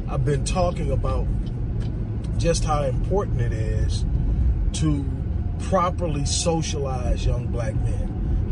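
Hoarse man talking over loud traffic. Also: fairly high pitch, distant mic. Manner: emphatic, repeats rhetorical phrases. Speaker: vlogger giving social commentary. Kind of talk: off-the-cuff monologue. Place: on the move, outdoors near traffic.

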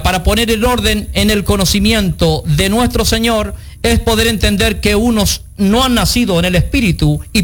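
A man's voice: high-pitched, a bit bright. A man co-hosting a religious radio show, reading and explaining scripture in an earnest, declamatory way. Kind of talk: sermon-style scripture reading.

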